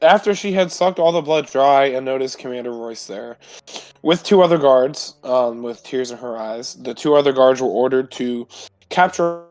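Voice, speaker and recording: A man's voice: mid-range voice, moderate pace, darkish tone, no echo. Male player, mid-range voice, casual recap style, dry sound over music. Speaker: tabletop roleplaying player. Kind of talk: casual chat and story recap.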